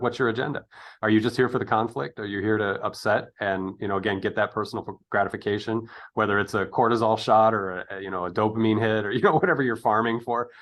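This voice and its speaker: Middle-aged man with a low voice. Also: fairly bright tone. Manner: earnest, hesitant, searching for words. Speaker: interview host. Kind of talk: reflective conversational discussion.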